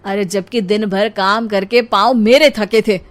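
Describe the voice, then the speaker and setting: A woman's voice: medium pitch, steady tone, measured pace, natural voice. A woman voicing a tired young husband who whines and complains, in a comic cartoon story. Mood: exasperated.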